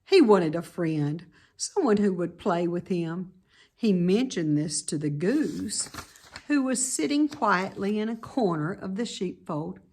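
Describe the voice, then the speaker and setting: A woman reading a children's story aloud as narrator, with deliberate, dramatic emphasis. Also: on the low side, expressive, darkish tone. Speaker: storybook reader.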